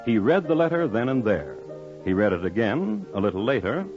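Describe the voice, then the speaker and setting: A man's voice: on the low side, sing-song, fairly quick. A man narrating an old-time radio crime drama as a wry, formal storyteller. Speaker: narrator and host. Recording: dull lo-fi old radio recording. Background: music.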